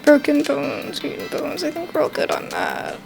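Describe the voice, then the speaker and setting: A woman's voice: high-pitched, steady tone, moderate pace, gravelly. A woman giving casual let's-play commentary, reacting aloud to herself as she plays. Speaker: video game streamer. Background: soft game music.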